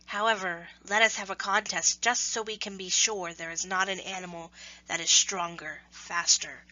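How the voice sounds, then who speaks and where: Woman as a shrewd, bright-voiced storybook trickster spider. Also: on the low side, lo-fi sound. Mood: sly flattery. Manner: measured, flattering.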